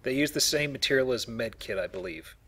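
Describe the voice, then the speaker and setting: A man's voice: mid-range voice, fairly quick, sharp tone. A man giving casual, wry commentary as he plays a video game. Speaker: gamer hosting a let's play. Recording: faint noise behind voice. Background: game ambience.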